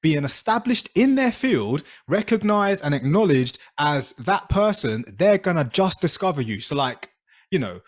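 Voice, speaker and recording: A man's voice: a little high, big pitch swings, moderate pace. A man co-hosting a podcast, talking in a rambling, chatty way full of fillers. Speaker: podcast co-host. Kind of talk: casual interview chat.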